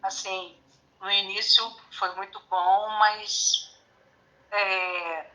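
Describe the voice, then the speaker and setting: A woman's voice: mid-range voice, little pitch movement, drawn-out words, bright, forceful tone, poor audio. A woman in her forties with a Rio de Janeiro Brazilian accent, chatting casually as a guest on a live stream over a phone. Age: 40s. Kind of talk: casual chat.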